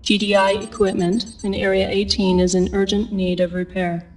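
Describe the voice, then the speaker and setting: Woman as a flat, emotionless military computer. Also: medium pitch, lightly acted. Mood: flat, official. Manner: clipped, impersonal, deliberate. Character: emotionless female military computer briefing a commander. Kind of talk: scripted mission briefing. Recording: distant voice over faint music.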